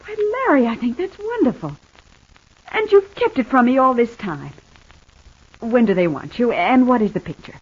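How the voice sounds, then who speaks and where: A muffled vintage radio recording carries a devoted, gentle wife in a soap opera. Her voice is husky and very expressive in pitch. She coaxes tenderly and earnestly, like a brave patient reassuring her husband. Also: normal speed. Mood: brightly curious. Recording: dull, band-limited old radio recording.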